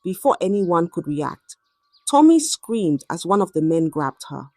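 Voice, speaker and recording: A woman telling a dramatic scripted story in an expressive, measured and suspenseful way. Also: lowish voice, no echo, clean studio sound.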